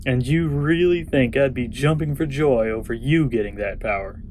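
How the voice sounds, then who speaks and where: A defiant young hero from an audio drama, played by a man. His mid-pitched voice is blunt and sardonic, with faint noise behind it. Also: normal speed, lightly acted. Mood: sardonic, distrustful.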